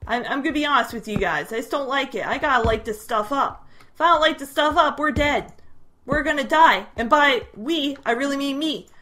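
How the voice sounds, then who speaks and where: A woman's voice: sits high, a little fast, bright, forceful tone. A young woman with a Canadian accent giving casual gameplay commentary, given to sudden startled squeals and chatty asides to her viewers. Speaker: gaming video creator.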